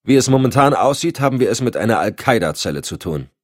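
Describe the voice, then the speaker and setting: A man's voice: mid-range voice, very animated, fast, no room sound, studio quality, voice pushed up. A man voicing a curt, sardonic, commanding agency chief with clipped formal speech in an audiobook. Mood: matter-of-fact. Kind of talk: audiobook dialogue.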